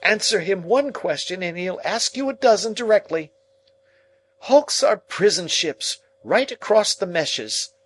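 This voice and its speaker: A man's voice: on the high side, sing-song, takes it slowly, hardly any pauses, a little mellow, breathy edge, voice pushed up, exaggerated voice. A man voicing a snappish, scolding older sister in a storybook reading. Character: shrill scolding sharp-tongued older sister.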